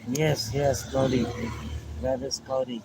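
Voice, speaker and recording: A man from Pakistan with a Pakistani accent, chatting in simple, halting English, repeating friendly phrases and greetings. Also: medium pitch, flat delivery, heavy background noise. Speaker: vlogger chatting with strangers. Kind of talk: casual friendly chat.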